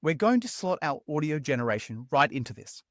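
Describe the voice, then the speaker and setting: A man hosting a software tutorial as a scripted voice-over, explaining steps in an upbeat, conversational way. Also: a little high, sing-song, a little fast, dry recording, clean studio sound. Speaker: tutorial host.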